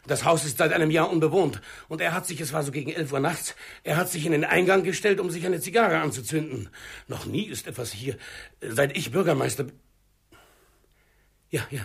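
An actor playing an agitated small-town mayor in a 1960s radio crime drama, husky and gravelly, halting as he reports. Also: fairly high pitch, full character voice.

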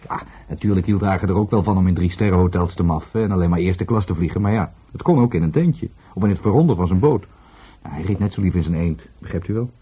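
Radio crime drama: easygoing philosopher witness, colloquial, musing, very rough-edged and relaxed tone. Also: male voice, deep voice, fast talker. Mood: reflective. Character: philosophical, easygoing male witness, friend of the victim.

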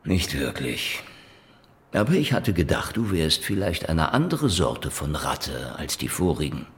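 An actor playing a cynical, aging, hard-boiled Soviet private detective in a radio drama, with a gravelly voice and a sardonic, world-weary manner. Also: male voice, rumbling low, big pitch swings, measured pace, exaggerated voice. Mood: insolent, blunt. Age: older. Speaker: lead character and narrator. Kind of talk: noir radio drama narration and dialogue.

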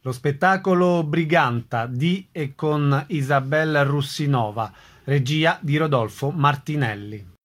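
A man's voice: a little high, a little slow, a bit bright. A man reading a scripted announcement as the announcer of a radio programme.

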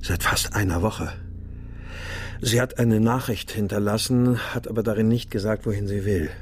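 An actor playing a troubled, subdued husband in a radio crime drama, speaking in a hesitant, reserved, brooding way. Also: male voice, lowish voice, moderate pace, close to an everyday voice. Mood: worried, hesitant. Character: troubled, subdued husband searching for his missing wife. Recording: clear background noise.

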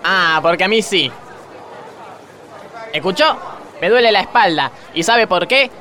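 Guy doing a grumpy argentine doctor, bright high voice, callous joker, radio sketch, bit of noise behind. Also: animated, measured pace, a faint crowd, heavily acted. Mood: complaining, indignant. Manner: blustering, rambling, laughs at own jokes. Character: grumpy, flippant male doctor who jokes callously. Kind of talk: radio comedy sketch acting.

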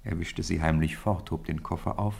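A man narrating a 1950s radio play in a calm, measured storybook manner. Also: low-pitched, gravelly, husky. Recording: 1950s radio studio recording.

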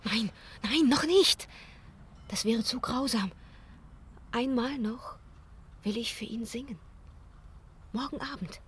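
Frightened, high-voiced young opera singer. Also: female voice, takes it slowly, pauses often, a little hiss or hum. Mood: fearful, torn. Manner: breathy hushed urgency, breaking into sharp commands. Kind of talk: German radio play acting.

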